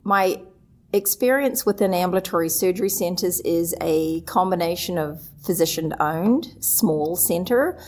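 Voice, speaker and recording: A woman's voice: fairly low pitch, unhurried, a little mellow. A middle-aged woman from New Zealand with a New Zealand accent, earnestly listing her experience as a guest in a live conference interview. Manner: earnest, lists points one after another. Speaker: guest, association executive director.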